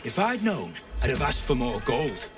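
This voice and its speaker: A man's voice: medium pitch, wildly swooping pitch, normal speed. A man playing a brash, greedy, foul-mouthed rogue in a fantasy game, swaggering and irritable. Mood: wry, threatening. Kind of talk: video game voice acting. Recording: distant, loud music behind.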